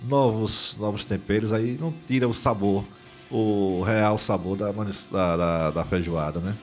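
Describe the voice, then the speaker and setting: A man co-hosting a casual chat, easygoing and nostalgic, often agreeing with a soft "né". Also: lowish voice, measured pace, soft background music. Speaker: co-host.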